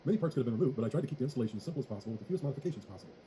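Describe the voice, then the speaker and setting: A man's voice: medium pitch, expressive, racing, mellow, a little hiss or hum. A man narrating a scripted technical tutorial in a formal, methodical way. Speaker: tutorial presenter.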